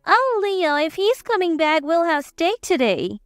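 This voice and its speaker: Woman voicing a haughty, high-pitched domineering mother-in-law. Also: very animated, unhurried, no echo, clean studio sound, soft background music, heavily acted. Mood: pleased, haughty. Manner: bossy, haughty lecturing. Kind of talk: dramatized story narration.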